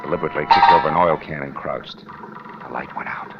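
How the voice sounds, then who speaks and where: An actor playing a weary, wry, tough private detective in 1940s radio noir style, with dry, clipped delivery. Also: male voice, extremely low pitch, a little fast, creaky, over loud music. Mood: wry, brooding. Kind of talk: 1940s radio noir acting and first-person narration. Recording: dull old radio recording.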